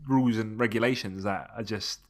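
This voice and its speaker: A man from Tunisia co-hosting a podcast, talking in an animated, emphatic way and often restarting his phrases. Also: fairly low pitch, a little fast. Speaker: podcast co-host. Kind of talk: casual podcast chat.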